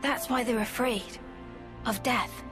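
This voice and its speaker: A woman playing a composed, gentle young heroine in a video game. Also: fairly high pitch, steady tone, slow. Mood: composed, somber. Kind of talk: dramatic video game voice acting. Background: music.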